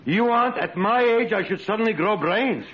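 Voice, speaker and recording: High-pitched, pompous waiter. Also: male voice, expressive, very noisy. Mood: sheepish, confiding. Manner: pompous, mangled word order, deliberate. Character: pompous, dim, high-class immigrant waiter, middle-aged or older man. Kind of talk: 1940s radio comedy acting. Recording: dull old radio recording, laughing studio audience.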